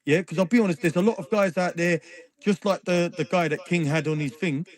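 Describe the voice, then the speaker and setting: A man's voice: high-pitched, a little fast. A man with a British accent, calling into a chat stream and telling stories with many stumbling restarts. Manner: stumbling storyteller, restarts sentences. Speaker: caller. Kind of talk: casual chat.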